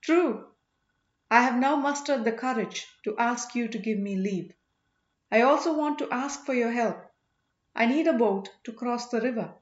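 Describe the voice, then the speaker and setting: A woman's voice: fairly high pitch, moderate pace, natural voice. A woman voicing an earnest, courteous, lovestruck young king in exile in an audiobook story. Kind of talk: audiobook character dialogue. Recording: roomy, slightly dull home recording.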